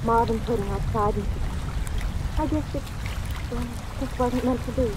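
A woman's voice: on the high side, steady tone, normal speed, close to an everyday voice. A woman playing a sweet, devoted, sentimental young sweetheart in 1940s radio drama, with earnest delivery. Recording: old radio recording, clear watery rain noise.

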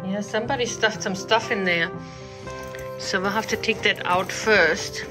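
A woman's voice: medium pitch, steady tone, a little slow, over music, poor audio. A woman talking casually to her dogs at home in doting baby talk, repeating her phrases, recorded on a handheld phone. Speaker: pet owner narrating home video. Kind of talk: casual pet-directed chatter. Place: indoors at home, hallway. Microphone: handheld phone camera, speaker behind it.

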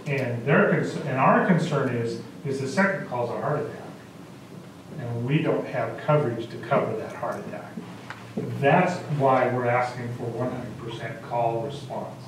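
A man's voice: mid-range voice, unhurried, slight background noise. A man explaining matters plainly as a council member at a public meeting. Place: small council chamber, indoors. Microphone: distant camera microphone. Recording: very echoey room from far off.

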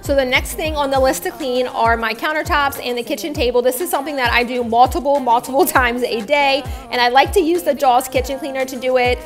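A young woman with a high voice narrates her home cleaning routine as a voice-over. She sounds chatty, friendly and upbeat. Soft music plays faintly under her words. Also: a little fast, hardly any pauses. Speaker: cleaning vlogger, stay-at-home mom. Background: faint background music.

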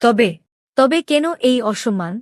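A woman voicing a proud, lovestruck young princess in an animated story, questioning with emotion. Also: a little high, a little slow, no echo, studio quality. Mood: hurt, demanding. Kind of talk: dramatic Bengali animated story dialogue.

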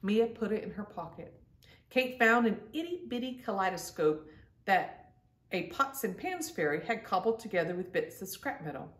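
A woman's voice: mid-range voice, lively intonation, normal speed. Middle-aged female storytime host, mid-range voice, reading to children from a little way off the mic in a roomy space. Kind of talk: read-aloud children's storytelling. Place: indoor room at home. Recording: roomy, distant-mic home recording.

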